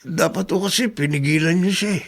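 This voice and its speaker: A man's voice: high-pitched, brisk. A man playing a loyal, deferential driver in a Filipino radio drama, respectful and hesitant, with a Filipino accent. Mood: sympathetic. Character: loyal, deferential driver consoling his boss.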